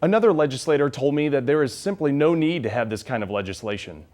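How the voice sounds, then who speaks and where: A man's voice: mid-range voice, expressive, fast, a little mellow. A man in his twenties with an American accent, delivering scripted reports as a television news reporter.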